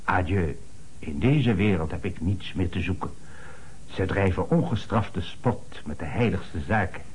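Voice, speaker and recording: An actor playing an earnest, formal middle-aged notary in a radio play, speaking in a measured way with dramatic emphasis. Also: male voice, deep voice, expressive, slight background noise. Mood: grave, emphatic.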